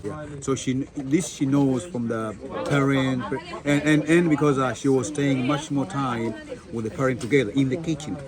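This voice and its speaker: A man's voice: medium pitch, steady tone, moderate pace, a crowd in the background. A man explaining things casually to visitors as a guide, repeating himself and asking rhetorical questions. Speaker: tour guide.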